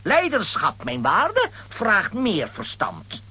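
A vain, blustering hero of a children's radio play. His voice is high for a man, forceful and rough-edged, and he draws out his boasts with exaggerated, swooping excitement. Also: takes it slowly, exaggerated voice. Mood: pompous, scornful. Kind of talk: children's comic audio drama acting.

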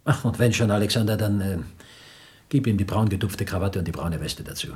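An actor playing an older, tight-fisted, excitable uncle in a radio play, husky and grumbling with indignant outbursts. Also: male voice, on the low side, a little fast. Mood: grudging. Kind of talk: German radio play dialogue.

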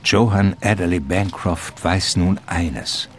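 A man narrating a literary radio drama in a measured, ornate storytelling manner. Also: low voice, a little hiss or hum. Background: music.

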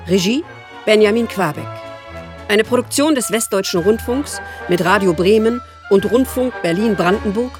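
A woman reading scripted radio drama credits in a measured, formal manner. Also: medium pitch, over music.

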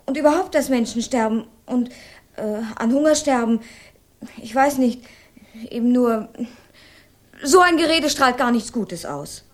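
An actress playing an earnest, idealistic young woman in a 1960s radio crime drama, speaking in a halting, emotional way. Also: on the high side, expressive. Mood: halting, troubled.

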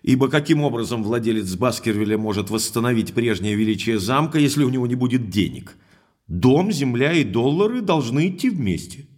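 An actor playing an impulsive, forthright young baronet in an audio drama, speaking emphatically and earnestly. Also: male voice, medium pitch, expressive, a little fast, a little mellow, lightly acted.

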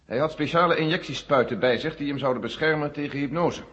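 A man acting a sober, authoritative general in a Dutch radio play, answering in a measured, formal way. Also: fairly high pitch, fairly bright tone, natural voice. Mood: grave, resigned. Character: frank, sober American general leading a space agency. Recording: dull 1970 radio recording, echoing hall.

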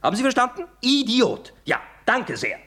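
A glib young artist with no money in a radio play. His rough-edged voice leaps up and down, theatrical and wheedling, with a mock-solemn tease underneath. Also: extremely high pitch, wildly swooping pitch, moderate pace, pushed and bright, full character voice. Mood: mock-polite, impudent. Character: glib, teasing, penniless young male artist. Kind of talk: German radio play acting.

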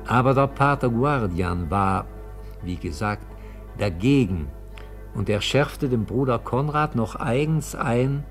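A man's voice: mid-range voice, animated, takes it slowly, faint music behind. A man narrating a radio play from a script in a sober, formal manner.

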